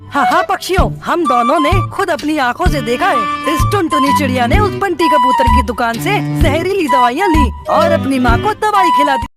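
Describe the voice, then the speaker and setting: A woman plays a conniving male crow, the villain of a children's cartoon. The voice is high and insistent, full of scheming. Loud music runs underneath. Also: lively intonation, moderate pace. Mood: accusing. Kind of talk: Hindi children's cartoon dubbing.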